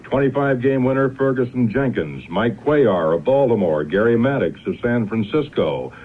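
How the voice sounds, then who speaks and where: A man reading network news and sports in a crisp, wry style. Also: medium pitch, lively intonation, moderate pace. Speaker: network newscaster. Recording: tinny 1970s radio broadcast.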